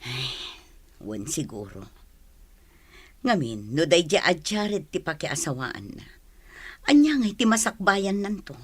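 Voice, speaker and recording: A woman's voice: on the low side, very animated, measured pace, pauses often, slightly rough, no room sound, exaggerated voice. An actress with a Filipino accent playing a nagging, fretful middle-aged mother in a village radio drama. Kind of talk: Ilocano radio drama acting.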